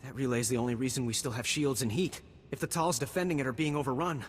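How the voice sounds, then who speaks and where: A man's voice: mid-range voice, steady tone, quick pace, close to an everyday voice. An actor playing an earnest, anxious male army lieutenant in a video game, urgent and sometimes faltering. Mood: tense. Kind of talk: scripted video game cutscene acting. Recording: long reverberant hall, faint background noise.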